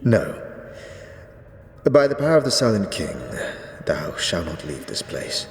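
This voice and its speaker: A grave undead guardian priest speaks in archaic, devout pronouncements. His very deep voice moves slowly and rings through a heavily echoing hall, as in a fantasy audio drama. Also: big pitch swings, full character voice. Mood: stern refusal. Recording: echoey stone hall reverb, faint noise.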